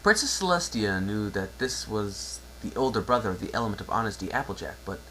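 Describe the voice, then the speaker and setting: A man's voice: on the low side, expressive, fairly quick, fairly bright tone, poor audio. A man narrating a story aloud as a dramatic amateur reading. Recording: faint background noise and hum.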